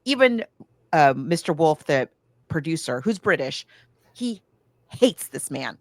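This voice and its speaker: Podcast co-host, female, slightly low register, dry sound, casual and emphatic storytelling. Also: sing-song, unhurried, dry recording. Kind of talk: casual film discussion, recapping a plot.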